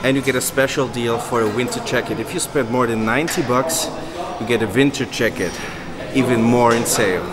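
A man's voice: mid-range voice, brisk. Cheerful man in echoey gym. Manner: cheerful, cursing, bantering coach. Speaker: bodybuilder vlog host. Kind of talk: casual gym chat and coaching. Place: large indoor gym.

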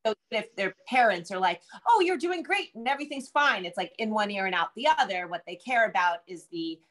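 A woman's voice: medium pitch, expressive, fairly quick, fairly bright tone. A middle-aged woman speaking conversationally as an interview guest, a novelist talking from a room at home over a video call.

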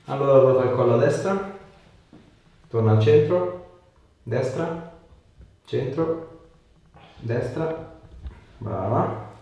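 A man, an osteopath giving brisk short directions and questions to a patient in a treatment room. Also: medium pitch, expressive, gravelly, mellow. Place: small treatment room, indoors. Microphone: camera a few metres away. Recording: roomy echo, distant mic, faint noise.

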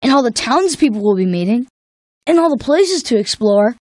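A woman's voice: on the high side, sing-song, moderate pace, a little mellow, no room sound. A woman voicing a laid-back, wisecracking young boy with a casual, slangy delivery in a children's cartoon game. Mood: easygoing.

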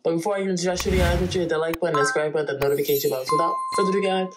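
A woman's voice: fairly low pitch, racing. A young woman from New Jersey who hosts music reaction videos. She chats casually and with animation from a small bedroom, recorded on a close camera. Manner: animated, chatty, hums and sings along.

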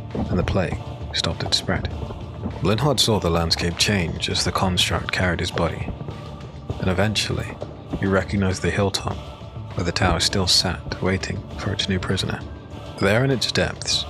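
A man giving solemn, measured, dramatic narration of a dark fantasy audiobook. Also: low voice. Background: music.

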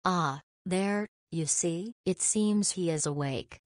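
Visual novel physician: composed young woman, formal and exact, deep-set pitch, dry studio sound. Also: slow, dry recording. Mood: polite, composed. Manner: formal, precise, reassuring.

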